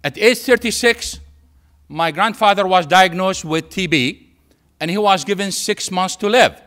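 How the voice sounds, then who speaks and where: Male lecturer, Syrian Arabic accent, native Arabic speaker, slightly high pitch, emphatic storytelling delivery with deliberate pauses. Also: a bit bright. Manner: emphatic, repeats phrases, pauses for effect. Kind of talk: public lecture with anecdotes and humour.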